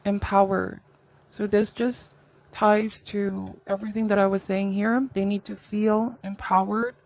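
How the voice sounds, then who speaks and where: A woman with a medium-pitched voice reads tarot for her viewers. She talks conversationally and reflectively, offering guidance as she goes and checking in with small tags seeking agreement. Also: flat delivery, measured pace, darkish tone, poor audio. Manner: reflective, advisory, seeks agreement with tags like okay and right. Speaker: tarot reader, host.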